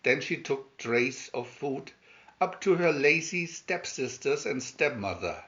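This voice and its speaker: A man narrating a fairy tale aloud as scripted storybook reading, with deliberate, expressive phrasing. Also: medium pitch. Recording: slightly dull, lo-fi sound.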